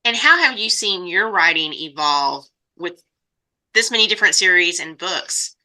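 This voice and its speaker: Very bright-voiced woman interviewer. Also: fairly low pitch, lively intonation, normal speed, low-quality recording. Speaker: podcast host. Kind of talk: conversational interviewing.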